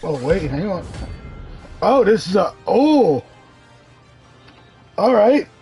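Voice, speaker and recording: Slow, high-pitched male game streamer. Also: big pitch swings, dark tone, faint music behind. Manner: talks to himself while playing. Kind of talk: casual live game commentary.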